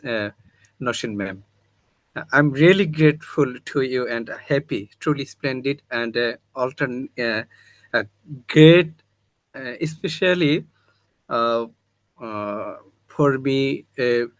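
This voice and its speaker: Slow-speaking man in an online audience, dry close sound, stumbling through fillers. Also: mid-range voice, fairly bright tone, dry recording. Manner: very halting, full of filler sounds. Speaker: audience member. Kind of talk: offering compliments in a webinar.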